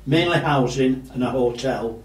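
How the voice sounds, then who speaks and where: A man, a local councillor with a loud voice, talking casually in an interview and pausing often with fillers. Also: medium pitch. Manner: loud voice, halting with many fillers. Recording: echoey room, distant mic.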